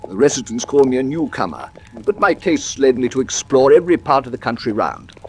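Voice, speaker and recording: An actor playing an eager, talkative naturalist in a radio drama, with a polite, hesitant, wordy manner. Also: male voice, medium pitch, big pitch swings, a little fast, a little mellow, slightly rough. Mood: enthusiastic. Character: eager, talkative naturalist man living on the moor. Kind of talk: classic radio drama acting.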